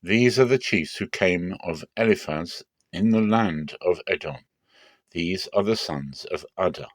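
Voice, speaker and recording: A man reading scripture aloud dramatically, in measured and deliberate phrases with clear pauses. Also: low-pitched, dry recording. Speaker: Bible reader.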